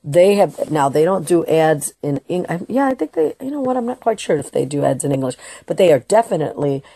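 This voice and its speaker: A woman's voice: low voice, sing-song, a little fast, creaky, mellow, slightly rough, dry recording. A woman hosting a political talk radio show, delivering an emphatic, opinionated monologue with restarts and bits of Spanish. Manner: slips into Spanish phrases now and then.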